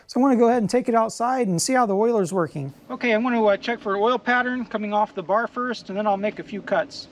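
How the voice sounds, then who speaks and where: A man's voice: high-pitched, fast, few pauses, slight background noise. Middle-aged guy walking through a repair, easygoing, lots of uhs and ums, workshop garage. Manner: relaxed, explanatory, frequent "uh" and "um". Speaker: channel host. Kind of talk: how-to repair demonstration.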